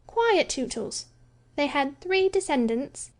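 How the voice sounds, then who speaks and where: Woman doing high, theatrical girl storyteller Wendy. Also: big pitch swings, takes it slowly, heavily acted. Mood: storytelling. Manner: theatrical, sing-song telling. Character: earnest girl storyteller, motherly and dramatic.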